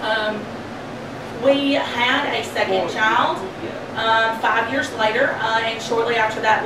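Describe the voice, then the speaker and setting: A woman, giving a halting personal testimony with many ums in a church. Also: on the high side. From Kentucky, USA. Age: 30s. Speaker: program member giving testimony. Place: church hall. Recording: echoey hall, far mic, noise.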